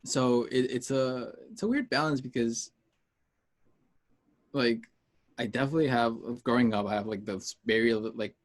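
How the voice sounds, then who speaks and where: A man from the United States chatting casually as an interview guest, informal and rambling, pausing to gather his thoughts. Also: mid-range voice. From United States, Peruvian heritage. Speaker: interview guest, music artist.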